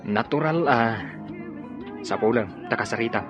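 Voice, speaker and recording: A calm, consoling drinking buddy, voiced by a man in a radio drama, with a mid-pitched voice. The sound is dull and has music playing clearly under it. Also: moderate pace, natural voice. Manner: consoling, easygoing. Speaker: actor in an audio drama.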